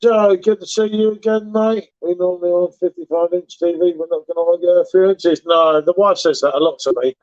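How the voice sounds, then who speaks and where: A man's voice: high-pitched, fairly even intonation, a little fast, hardly any pauses, darkish tone. A man hosting a casual livestream, bantering and joking while reading viewer messages. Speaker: beer reviewer livestream host. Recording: dull lo-fi livestream audio.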